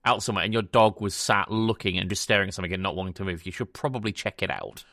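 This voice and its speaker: A man's voice: sits low, racing, bright, forceful tone, dry recording, studio quality. A man hosting a podcast, giving casual film commentary in a conversational way, rephrasing as he goes. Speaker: podcast host.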